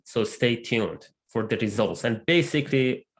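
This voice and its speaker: A man's voice: medium pitch, lively intonation. Man presenting on a video call. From immigrant to Canada. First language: not English. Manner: measured, with frequent fillers and restarts. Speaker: research lab lead presenting. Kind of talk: online presentation talk. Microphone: computer mic on video call.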